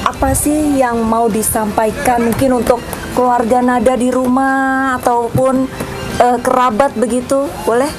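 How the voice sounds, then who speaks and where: A woman field reporter speaks in a high voice over clearly audible music. She interviews in a chatty live style, prompting guests with questions and echoing their answers. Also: level tone, measured pace. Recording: music playing behind the voice.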